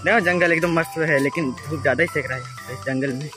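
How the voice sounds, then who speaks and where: A man's voice: on the high side, fairly quick. Young man, Jashpur accent, rambling jokey vlog, outdoor forest path, handheld phone mic, music behind. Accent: Jashpur, Chhattisgarh. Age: young adult. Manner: rambling, joking, talks while walking. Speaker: vlogger. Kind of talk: casual walking vlog. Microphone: phone camera held at arm's length.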